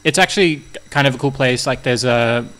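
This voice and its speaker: A man's voice: medium pitch, brisk, a bit bright. A young man from New Zealand, a musician guest talking casually and rambling, with many fillers and restarts. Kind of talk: casual interview chat.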